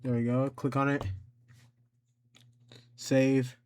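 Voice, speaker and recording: A man giving an informal step-by-step software tutorial, thinking aloud and somewhat hesitant as he goes. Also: medium pitch, little pitch movement, takes it slowly, smooth. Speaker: tutorial host.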